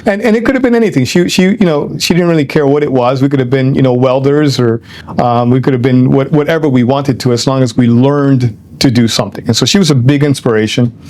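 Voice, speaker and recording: An older man from Ecuador, answering an interviewer's questions in a reflective, unhurried way. He pauses with lots of "um" and "you know", restarts his phrases, and slips Spanish words into his English. His pitch sits in the middle range for a man. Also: darkish tone. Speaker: guest.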